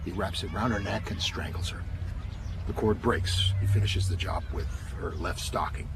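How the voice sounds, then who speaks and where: An actor playing a hard-nosed, middle-aged retired homicide detective, blunt and matter-of-fact in a radio drama. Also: male voice, low voice, normal speed, gravelly, over loud music. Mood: grim, matter-of-fact.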